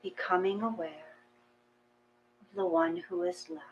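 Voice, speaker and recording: A woman reading a gentle guided meditation in a calm, measured manner, with long pauses between short phrases. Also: fairly low pitch, creaky, muffled recording, slight background noise.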